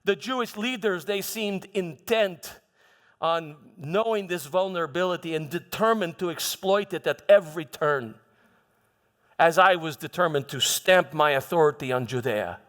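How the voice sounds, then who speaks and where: A man playing a proud, exasperated Roman governor in a theatrical first-person stage monologue, halting and repeating words for emphasis as he tells his story. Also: fairly high pitch, a bit bright, natural voice. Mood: wary, scornful. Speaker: church dramatist performing a monologue. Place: church hall before an audience. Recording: echoey church hall, music behind.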